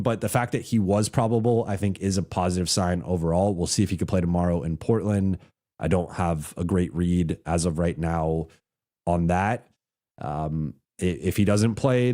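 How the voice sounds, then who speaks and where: A man hosting a live basketball reaction stream, congested and losing his voice, talking casually with many halting restarts. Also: low voice, warm tone. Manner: halting, with frequent restarts and "like" fillers. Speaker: basketball reporter hosting a livestream. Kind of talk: casual live reaction, reading super chats.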